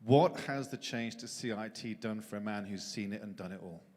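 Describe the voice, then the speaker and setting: Guy at a presser cracking a joke before his question, lowish voice, echoey room. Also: moderate pace, darkish tone. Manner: jokey, conversational. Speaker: promo scriptwriter asking questions. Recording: long hall reverb.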